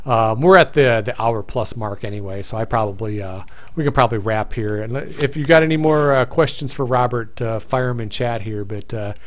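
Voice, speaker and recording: A man's voice: medium pitch, moderate pace. Male stream host, casual and rambling, voice wearing out. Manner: rambling, many restarts and fillers. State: voice getting tired. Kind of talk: casual live-stream chat.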